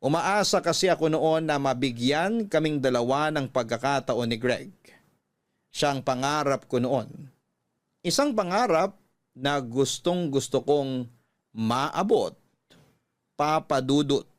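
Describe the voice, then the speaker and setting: Filipino guy narrating radio drama, medium pitch, dramatic deliberate storytelling. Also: lively intonation. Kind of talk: emotional first-person storytelling, scripted narration.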